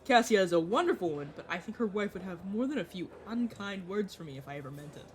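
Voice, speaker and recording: A woman's voice: medium pitch, expressive, fast, a little hiss or hum, natural voice. A woman playing a chatty, playful young male vampire mentor in an audio roleplay, talking casually to the listener. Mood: gushing. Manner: teasing, casual, dramatic about food. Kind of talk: audio roleplay acting, addressing the listener directly.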